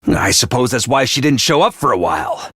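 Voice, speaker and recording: A man voicing a brisk, ruthless academy commander in a video game, barking orders and announcements. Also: medium pitch, big pitch swings, fairly bright tone, breathy edge, no echo, studio quality. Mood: analytical. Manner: clipped orders and announcements, drill-sergeant bark. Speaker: voice actor in a game.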